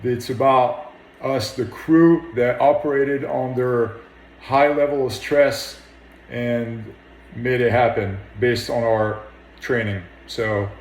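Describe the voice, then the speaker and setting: A man's voice: medium pitch, fairly even intonation, slow. Echoey man, firefighter recounting an incident. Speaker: firefighter officer. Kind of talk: interview account of an incident. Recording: echoey room sound.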